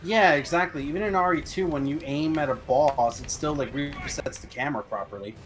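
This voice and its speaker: A man co-hosting a game stream, calmly commenting and explaining the game's mechanics. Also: fairly high pitch, fairly quick. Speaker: co-host watching the gameplay. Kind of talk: casual gaming commentary. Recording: game music loud behind voice. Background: game music.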